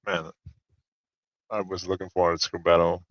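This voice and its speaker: A man's voice: sits low, level tone, moderate pace, dry recording, dull audio. A man bantering on a livestream, laughing often and playful. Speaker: guest artist on a drawing stream.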